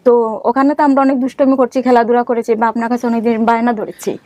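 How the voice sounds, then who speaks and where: A middle-aged woman talks quickly in a fairly high, soft-edged voice. She puts earnest, conversational questions as a guest looking for family. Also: steady tone.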